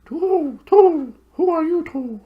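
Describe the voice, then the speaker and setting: A man voicing a sleepy, fussy old male owl who hoots as he talks, in a very high strained falsetto. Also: expressive, slow pace, muffled recording, exaggerated voice. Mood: fussy hooting. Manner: hooting, breathless.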